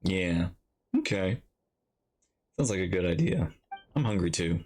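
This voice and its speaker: A man's voice: low-pitched, expressive, measured pace, darkish tone. A man giving casual reaction commentary as he plays through a video game story.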